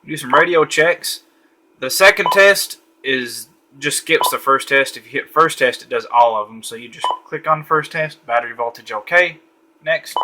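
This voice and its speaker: A man's voice: mid-range voice, normal speed, background noise. A man giving a casual, easygoing walkthrough of a radio at a home desk late at night, thinking aloud with frequent fillers. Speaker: radio reviewer.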